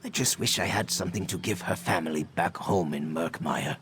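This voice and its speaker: A man's voice: low-pitched, fairly quick, lightly acted. A man voicing a grateful, grieving expedition companion in scripted fantasy game dialogue. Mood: subdued, grateful. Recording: faint background noise.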